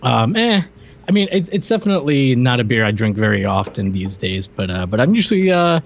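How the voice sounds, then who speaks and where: A man's voice: medium pitch, big pitch swings, fairly quick, vocal fry. A man co-hosting a podcast, chatting casually about a film with joking, wry remarks. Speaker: podcast co-host. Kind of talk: casual movie review banter. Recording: muffled audio with noticeable background noise.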